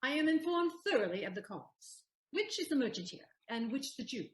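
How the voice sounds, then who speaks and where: A woman's voice: high-pitched, sing-song, a little fast. A woman acting a composed, eloquent heroine posing as a lawyer in a Shakespeare audio play, formal and declamatory, in a roomy recording. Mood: formal, questioning.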